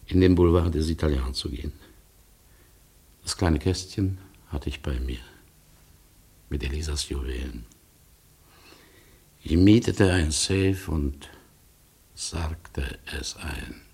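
A grieving man telling of wartime loss in a radio play. His voice is low, gravelly and husky, and he narrates with grave, dramatic weight. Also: a little mellow, heavily acted.

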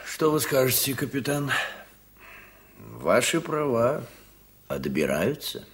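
A man acting a vice-prefect in a radio drama, a measured official who speaks formally and with authority. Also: medium pitch, very animated, gravelly, slightly rough, heavily acted.

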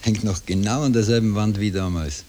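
Radio play role: reminiscing Viennese man, played by a male actor, low brooding voice, faint tape hiss. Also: brisk, darkish tone, lightly acted. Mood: brooding, wistful. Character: brooding grown man recalling his Viennese childhood. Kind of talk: 1960s German-language radio drama acting. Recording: hissy old tape.

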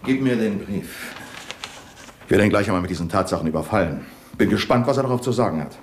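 Deep-voiced, sardonic police commissioner played by a man. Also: lively intonation, quick pace, slight background noise, lightly acted. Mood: pondering, purposeful. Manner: dry, sardonic, probing. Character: shrewd, cynical male police commissioner leading a murder case. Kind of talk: 1970s German-language radio crime drama acting.

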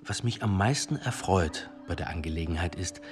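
A shrewd senior policeman in a radio drama. He speaks on the low side, formally and deliberately, with dry irony. Music runs clearly underneath. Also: animated. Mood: pointed, insistent. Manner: formal, deliberate, dryly ironic. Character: shrewd, dry, resolute English police chief. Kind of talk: German radio drama acting.

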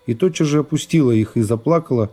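A man's voice: medium pitch, fast talker, mellow. A man narrating classic literary prose for radio, giving it a scripted, dramatic reading.

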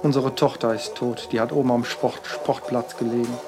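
Guy rambling about his art, stops and restarts a lot, lots of uh and um, mid pitch, faint music underneath. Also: little pitch movement. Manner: halting, with frequent fillers and restarts. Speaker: artist. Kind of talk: rambling personal account in a radio feature.

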